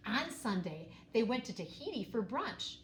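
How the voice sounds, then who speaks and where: A woman storyteller reads a children's book with very lively pitch and dramatic emphasis on key words. Her voice echoes in the room, with faint noise behind it. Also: moderate pace. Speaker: storyteller reading a picture book aloud. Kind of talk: expressive children's read-aloud narration. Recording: roomy echo, faint background noise.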